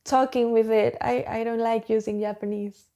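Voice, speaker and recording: A woman speaks bluntly in an interview, repeating her points for emphasis. Her voice is mellow and warm. It sits a little higher than most women's. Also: steady tone, moderate pace, gravelly, a little hiss or hum. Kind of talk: candid interview.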